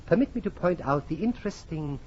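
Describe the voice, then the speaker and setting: A man's voice: on the high side, expressive, a little fast, darkish tone, natural voice. Guy playing a sly gloating nobleman in old radio horror, sing-song swoops, coaxing fake concern, muffled noisy recording. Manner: coaxing, repetitive, chuckling menace. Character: sly, vengeful nobleman, falsely solicitous, secretly gloating. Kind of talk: old-time radio horror drama acting.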